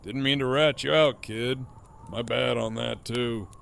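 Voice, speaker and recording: A man playing a cocky, teasing, foul-mouthed prisoner in an audio drama, with a smug, sardonic drawl and a gravelly voice. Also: mid-range voice, fairly even intonation, unhurried, a bit bright. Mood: mock apologetic. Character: cocky, teasing, profane male prisoner with a grin.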